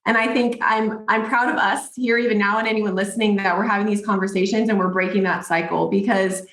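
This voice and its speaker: A woman's voice: mid-range voice, steady tone, brisk, fairly bright tone, roomy sound. A woman guest talking earnestly and at length in casual chat.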